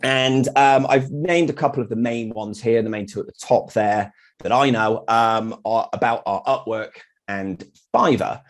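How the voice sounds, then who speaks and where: A man's voice: mid-range voice, a little slow. A middle-aged British man giving an informal presentation from his own experience as a designer, in a candid, blunt way. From United Kingdom. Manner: candid, blunt, mildly sweary. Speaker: freelance graphic designer giving a meetup talk. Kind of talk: informal presentation with personal anecdotes.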